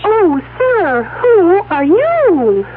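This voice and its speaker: Excitable shopper: flustered, high register, swooping inflection, vintage radio spot. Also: female voice, very slow, narrow, tinny audio, heavily acted. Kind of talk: radio acting. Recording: band-limited old radio, faint noise.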